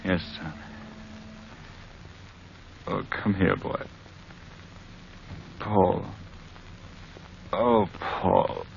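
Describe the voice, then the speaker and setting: A man's voice: deep voice, big pitch swings, drawn-out words, gravelly, long pauses, heavily acted. An actor playing a troubled, tender father in an old-time radio drama. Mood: grieving. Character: troubled, tender father abandoned by his wife. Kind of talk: 1940s-style radio drama acting. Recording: dull, noisy old radio recording.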